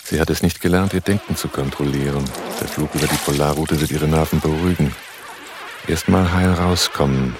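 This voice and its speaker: Low-voiced, cool-headed male smuggler. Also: fairly even intonation, a little fast, music in the background. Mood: brooding, controlled. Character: cool, cautious male arms smuggler on the run, calculating. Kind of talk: German radio drama dialogue and first-person narration.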